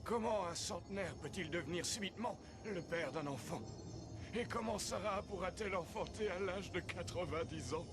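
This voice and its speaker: Aged cartoon patriarch: high voice, humble and eager, Bible story with loud score. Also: male voice, normal speed, over music. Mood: bewildered. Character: Abraham, humble, eager hundred-year-old patriarch. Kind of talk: dubbed animated Bible drama.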